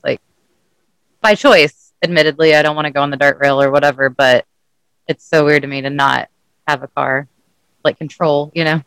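A man's voice: on the high side, fairly even intonation, normal speed, pauses often, a bit bright, clear, resonant tone, no room sound, poor audio. A man from Dallas co-hosting a casual interview, chatty and curious, with the odd swear word. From Dallas, Texas. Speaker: podcast co-host.

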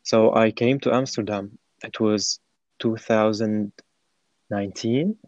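Halting young man, dull lo-fi recording. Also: on the low side, fairly even intonation, darkish tone, dry recording. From Syria. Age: 20s. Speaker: podcast guest, former startup program participant. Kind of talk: conversational personal storytelling in an interview.

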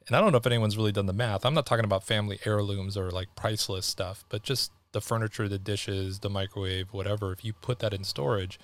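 A man's voice: lowish voice, fast talker, hardly any pauses. A man in his forties hosting a podcast, chatting casually and animatedly, acting out what other people say. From United States. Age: 40s. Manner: animated, quotes people in lively voices. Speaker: podcast host.

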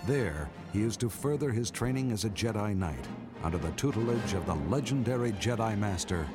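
A man narrating a scripted radio drama in a measured, solemn manner. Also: lowish voice, expressive. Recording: music under the voice.

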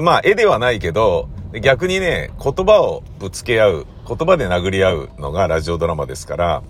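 A man hosting a casual solo podcast, talking in halting, drawn-out phrases that keep checking for agreement. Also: fairly low pitch, a little hiss or hum. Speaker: host of a regular podcast, radio drama director.

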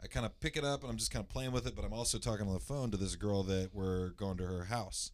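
Loud, low-voiced man telling a story. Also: steady tone, fast. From Indianapolis area, USA. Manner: rambling, many fillers and restarts, clears throat. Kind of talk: casual storytelling.

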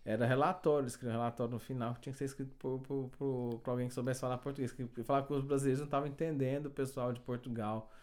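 A Brazilian man casually telling a story about job hunting as a new immigrant, animated and laughing often. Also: mid-range voice, rapid-fire, few pauses. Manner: animated, laughing, many tag questions.

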